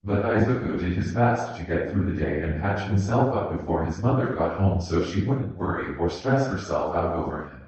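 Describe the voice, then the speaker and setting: Male story narrator, low register, scripted audiobook read, strongly reverberant and slightly dull home recording. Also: animated, moderate pace, few pauses, a little mellow. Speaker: fan fiction narrator. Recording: muffled, very echoey room recording.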